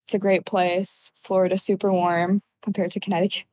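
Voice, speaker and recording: A woman's voice: medium pitch, brisk. A woman in her twenties from Ukraine, answering interview questions casually with lots of fillers. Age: 20s. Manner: relaxed, uses many fillers like "like" and "so yeah". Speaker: guest, college athlete.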